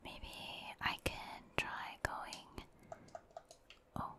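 A woman doing ASMR gameplay commentary in a soft, breathy whisper, unhurriedly musing aloud and casually talking to her viewers. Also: extremely slow. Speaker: game streamer, ASMR creator.

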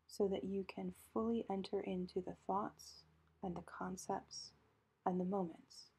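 Breathy, mellow woman guiding meditation. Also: medium pitch. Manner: calm, gentle, measured instruction with pauses. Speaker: meditation guide. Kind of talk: guided meditation narration.

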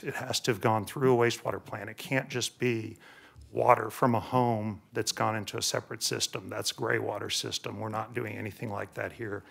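A man's voice: fairly low pitch, gravelly, fairly bright tone. A man answering residents' questions on wastewater permits in a public meeting, firm and technical, correcting misunderstandings. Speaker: engineer presenting at public forum. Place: large courtroom with audience.

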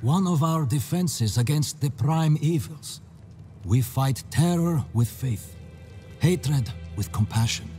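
Casting: solemn man of faith, slow, mellow, reverent, fantasy game with faint music. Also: on the high side, expressive. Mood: zealous. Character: devout, solemn male follower of a holy order. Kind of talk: dramatic video game dialogue.

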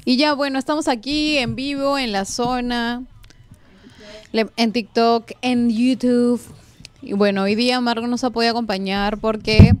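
A woman's voice: fairly high pitch, fairly even intonation, normal speed, clear, resonant tone. A woman in her twenties with a Peruvian accent, hosting a casual live radio chat in a playful, informal manner. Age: 20s. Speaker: radio host. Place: small radio studio, indoors. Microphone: studio microphone on boom arm.

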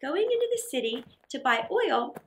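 A middle-aged woman reading a story aloud for children, expressive, with theatrical pauses and emphasis. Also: sits high, slight background noise. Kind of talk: dramatic read-aloud story narration for children. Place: small indoor set.

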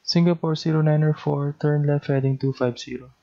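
A man's voice: on the high side, fairly even intonation, brisk, vocal fry, mellow. A man with a Filipino accent speaking in the clipped, procedural style of an air traffic control demonstration, on a dull lo-fi recording.

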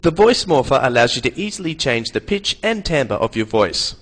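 A young man narrating a scripted software tutorial in a casual, playful manner. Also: medium pitch, sing-song, normal speed. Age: young adult.

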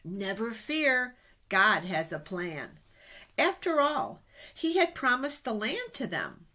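A middle-aged woman reading Bible stories aloud to children in a deliberate, expressive way. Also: a little high. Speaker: children's storyteller at a church. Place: small office indoors. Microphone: webcam at desk distance.

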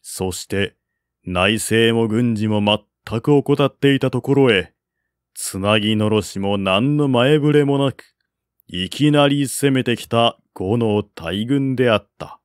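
Male narrator for historical fiction, formal, unhurried delivery, close dry sound. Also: mid-range voice, clean tone, dry recording. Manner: formal, measured reading. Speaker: audiobook narrator. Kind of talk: scripted literary narration of a historical novel.

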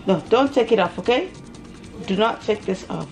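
A woman's voice: medium pitch, animated, moderate pace, music in the background. A woman in her thirties chatting casually to a camera at arm's length in a home kitchen, repeating words and drifting into asides. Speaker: family vlogger and mother. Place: small home kitchen, indoors. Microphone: camera held at arm's length.

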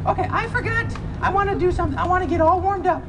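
A man's voice: very high voice, quick pace. A man voicing an eager, childlike, goofy puppet show-off in an exuberant, theatrical live comedy show. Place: outdoor sidewalk show, speaker on stand, street ambience. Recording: distant, noisy outdoor street recording.

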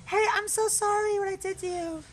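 Man putting on a very high, pleading young woman. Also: steady tone, brisk, a little hiss or hum. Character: falsetto young woman pop singer, apologetic and gushing.

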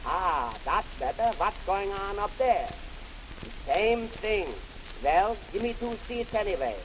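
Crackly 1920s 78 comedy record, guy doing a high-pitched grumbling wisecracker with yiddish accent, brash and cheap. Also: expressive, slow. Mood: grumbling, wisecracking. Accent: Yiddish-inflected English. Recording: hissy, noisy 1920s 78 rpm record.

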